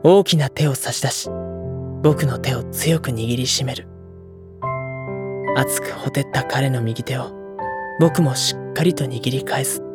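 An actor playing a gentle, earnest high school boy who speaks and narrates sincerely in an audio drama. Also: measured pace, long pauses, over music, lightly acted. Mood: reflective, tender.

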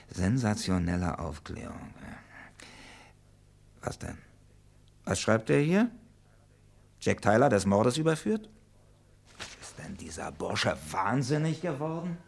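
An actor playing a sardonic, sceptical police investigator in a mid-century German radio crime play, questioning with pointed irony. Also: male voice, fairly low pitch, big pitch swings, slow, creaky, long pauses, exaggerated voice. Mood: irritated, doubtful.

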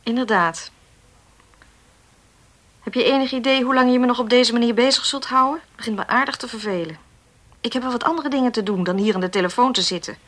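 A woman's voice: fairly high pitch, brisk, pauses often, fairly bright tone, lightly acted. An actress playing an irritated, defiant woman in a Dutch radio play, speaking in a curt, dismissive way. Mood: annoyed, curt. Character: irritated, defiant woman pestered by a phone caller.

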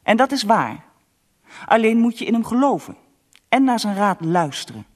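An actress playing a devout Russian empress, reading out her letter with measured, insistent earnestness in a radio drama. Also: a little high, sing-song. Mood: earnest pleading.

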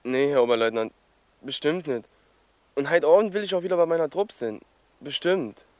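A man's voice: a little high, animated, normal speed, a little mellow, no echo, lightly acted. A man playing a nervous young soldier, dry sound. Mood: anxious, pleading. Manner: military, respectful, pleading. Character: frightened young male German soldier, deferential. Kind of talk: radio play acting.

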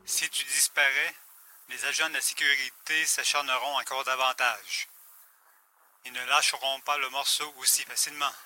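A man's voice: medium pitch, flat delivery, normal speed, bright, forceful tone, rough recording. A man playing a villain's wary male henchman in an audio drama. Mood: cautious.

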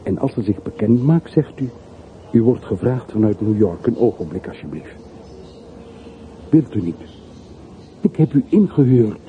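A man's voice: mid-range voice, expressive, a little slow, long pauses, lightly acted. A man acting in a radio play as a wistful, well-off middle-aged man who speaks in short, brooding, confessional sentences. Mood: insistent. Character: wistful middle-aged man of forty-three, wealthy returned emigrant. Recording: muffled phone-like old radio recording, hissy.

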